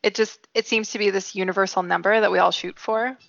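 Gravelly Canadian woman on a call. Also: mid-range voice, steady tone, fairly quick, fairly bright tone, dull audio. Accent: British Columbia Canadian. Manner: chatty, hesitant with fillers. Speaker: guest author. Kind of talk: casual podcast chat.

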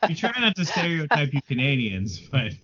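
A man's voice: fairly high pitch, very animated, normal speed, sharp tone, slightly rough, dull audio. A man co-hosting a podcast who teases the others in a playful, casual way. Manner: teasing, playful. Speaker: podcast co-host.

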